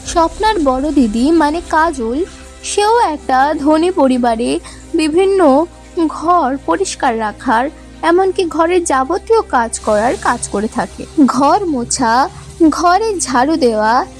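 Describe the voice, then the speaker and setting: A woman's voice: high voice, expressive, slow pace. A woman narrating a scripted children's story as a storyteller, with music behind her. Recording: music bed under the voice. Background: background music.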